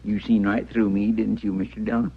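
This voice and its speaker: An actor playing a simple, kindly, easily flustered frontier deputy in a radio western, with a rural Western American accent and a hesitant, folksy, stammering way of talking. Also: male voice, lowish voice, flat delivery, a little fast, vocal fry. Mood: earnest, sheepish. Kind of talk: 1950s radio western acting. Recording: muffled, noisy old radio recording.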